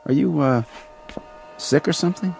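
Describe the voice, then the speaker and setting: An actor playing a polite, hesitant, mild young navy ensign in a radio drama. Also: male voice, a little high, moderate pace, creaky, darkish tone. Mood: polite, concerned. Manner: hesitant, courteous.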